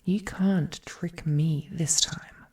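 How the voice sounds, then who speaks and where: An ancient, godlike villainess, played by a woman, speaks straight to the listener in a romantic audio drama. Her voice is dark and husky, and she takes her words very slowly. She is regal, possessive and wounded, brooding theatrically and slipping into whispered phrases. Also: low-pitched, steady tone, heavily acted. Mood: resolved, sorrowful.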